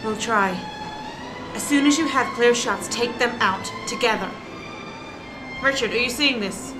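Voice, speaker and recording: An actress playing a commanding security operations chief in a radio drama, giving clipped, urgent orders. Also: female voice, on the high side, measured pace, lightly acted, low-quality recording. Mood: tense, urgent. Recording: loud music behind.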